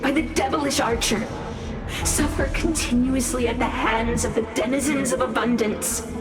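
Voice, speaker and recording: A woman's voice: a little high, fairly even intonation, moderate pace, lightly acted. A woman playing a proud, fanatical cult leader craving immortality, with solemn, grandiose delivery in a dramatic game style. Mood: proud, bitter. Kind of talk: dramatic video game acting. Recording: echoey, distant, under loud music.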